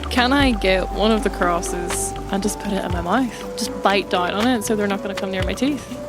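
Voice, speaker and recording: A woman's voice: mid-range voice, normal speed. A woman improvising casually as a player in a tabletop role-playing game. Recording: music bed behind the voice.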